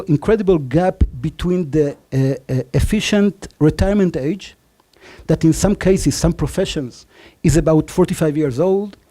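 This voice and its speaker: A man in his forties from Argentina with an Israeli and Spanish accent, pitching his startup on stage with many fillers and self-deprecating jokes. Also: warm tone. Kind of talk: conference pitch.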